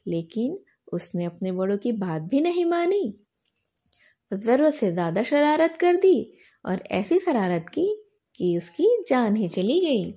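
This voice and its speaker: A woman telling a story to children expressively, in a gentle, teaching way. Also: a little high, sing-song, slow.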